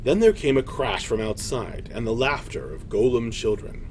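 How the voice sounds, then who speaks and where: A man narrating a scripted fantasy story dramatically, with deliberate pauses. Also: medium pitch, expressive, a little mellow, slight background noise.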